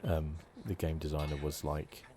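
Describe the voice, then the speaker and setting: A man giving soft, relaxed ASMR commentary over a video game. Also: very deep voice, normal speed, a crowd in the background. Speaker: gaming channel host. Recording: clear background noise. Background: game ambience.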